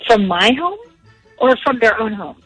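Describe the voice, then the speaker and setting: A woman's voice: fairly high pitch, very animated, unhurried, a bit bright, husky, faint music behind. A woman in her thirties chatting casually as a parent on a phone call, with a questioning manner. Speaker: parent receiving a prank call.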